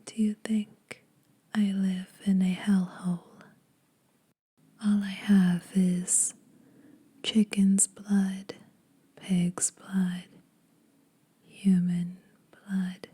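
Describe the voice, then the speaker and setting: Girl doing asmr vampire lady, super slow breathy whisper, dark soft voice, kinda teasing but kind. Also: medium pitch, flat delivery, full character voice. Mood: teasing, coaxing. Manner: slow, intimate, dryly teasing. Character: teasing, lonely female vampire, ageless, secretly kind to mortals.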